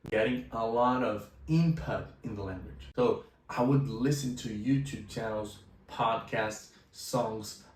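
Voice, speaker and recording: A man's voice: medium pitch, darkish tone. A young man from Brazil, a native Portuguese speaker with a Brazilian accent, casually telling his personal story as a language teacher in a vlog. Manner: casual, conversational, with filler phrases. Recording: roomy echo, mic at a distance.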